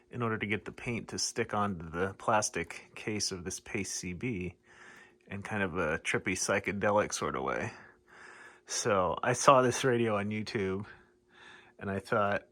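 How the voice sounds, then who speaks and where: A man's voice: fairly low pitch, a bit bright. An American man talking informally and thinking aloud as he narrates a hands-on hobby repair video. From United States, Midwest. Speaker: radio hobbyist presenter.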